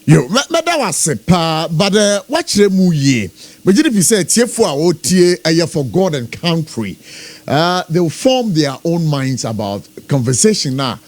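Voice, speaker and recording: A man's voice: on the high side, very animated, unhurried, darkish tone. A man with a Ghanaian accent hosting a live radio interview. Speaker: radio host.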